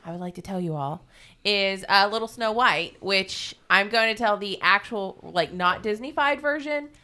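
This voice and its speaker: Bright, clear-voiced woman, informal storyteller. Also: medium pitch, moderate pace. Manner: irreverent, salty-tongued, informal. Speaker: podcast co-host. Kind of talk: casual retelling of a fairy tale.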